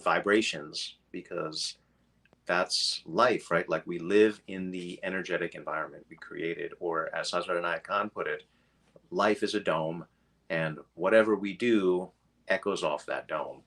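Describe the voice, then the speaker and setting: A man's voice: low voice, measured pace, fairly bright tone. A man teaching meditation in a casual, rambling way, full of fillers and easy laughter.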